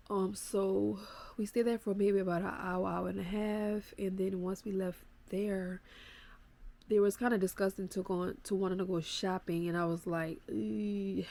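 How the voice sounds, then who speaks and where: A woman's voice: medium pitch, creaky, dark tone. Stuffy-nosed woman chatting to her phone. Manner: chatty, rambling, addresses viewers as y'all. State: stuffy nose from a cold. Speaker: vlogger. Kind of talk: casual vlog chat. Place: at home.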